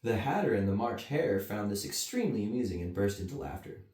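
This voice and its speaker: A man's voice: fairly low pitch, sing-song, brisk, darkish tone. A man reading a bedtime story to children with casual asides. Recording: roomy echo, mic at a distance.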